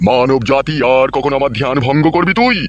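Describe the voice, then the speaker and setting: A man's voice: a little fast, muffled recording, lightly acted. A man voicing a wrathful holy hermit in a comic cartoon, chanting mantras and scolding with old-fashioned curses. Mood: angry, cursing. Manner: booming mantra chanting, scolding, archaic insults. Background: music.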